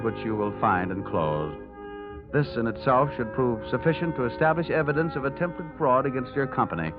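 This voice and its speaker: Man playing cynical American gumshoe, wisecracking, lively intonation, 1940s radio style, music behind. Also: medium pitch, close to an everyday voice. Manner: wry, sardonic. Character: tough wisecracking male insurance investigator, cocky and cynical. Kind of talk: 1940s radio acting, hard-boiled narration. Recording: muffled vintage radio recording, music behind.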